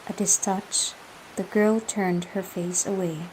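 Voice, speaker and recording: A young woman narrates a literary radio drama with a Filipino accent. She reads very slowly and solemnly in a mellow tone. A little background noise runs underneath. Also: mid-range voice, steady tone, poor audio. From Philippines. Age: young adult. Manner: measured, solemn storytelling.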